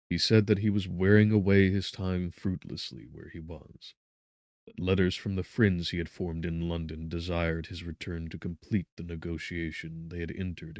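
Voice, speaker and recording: A man narrating a gothic novel as a scripted audiobook, with measured, dramatic phrasing. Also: low-pitched, a little mellow, no echo, clean studio sound.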